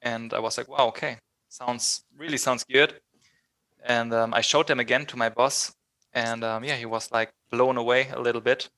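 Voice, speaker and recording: A man's voice: medium pitch, steady tone, moderate pace, sharp tone, no room sound. A man with a German accent telling his band's story in a casual interview, hesitant and full of fillers. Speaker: band member being interviewed.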